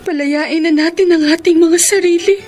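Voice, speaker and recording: A high-pitched Filipina mistress, devoted and self-sacrificing, speaks with plaintive, melodramatic heartache. Music runs clearly behind her. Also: fairly even intonation, normal speed. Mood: sorrowful resolve. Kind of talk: Filipino radio drama acting. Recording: radio drama with music underneath.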